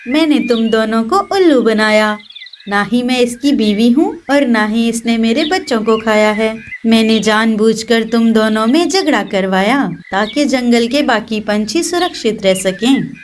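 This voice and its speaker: A woman's voice: on the high side, animated, measured pace, a little hiss or hum. A woman voicing a clever, caring mother bird in a children's cartoon, plucky and motherly. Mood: smug, triumphant.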